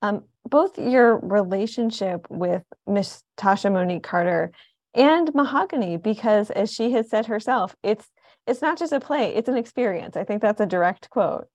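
A woman's voice: mid-range voice, animated, moderate pace, darkish tone, dry recording. A woman with an American accent casually hosting an interview in a conversational, enthusiastic way. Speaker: radio show host.

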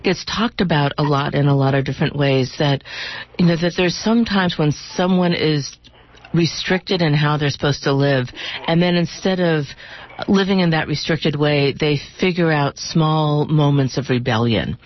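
A woman's voice: deep voice, normal speed. A woman hosting a conversational radio interview, feeling her way through her questions. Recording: slightly dull radio recording.

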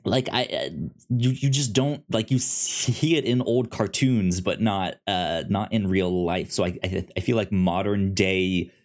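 A man co-hosting a casual film discussion, speaking haltingly and often stammering and repeating words. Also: low-pitched, a little mellow. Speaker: podcast co-host reviewing films.

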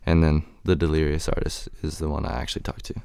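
A young man rapper answering interview questions in a raspy, deep voice, halting with many fillers. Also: little pitch movement, darkish tone, a little hiss or hum. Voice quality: raspy and deep right now.

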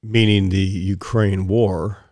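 A man hosting a solo podcast, his voice hoarse and raspy from strained vocal cords, talking in a rambling, digressive way with repeated words and restarts. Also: low-pitched, level tone, slow, very noisy. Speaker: podcast host.

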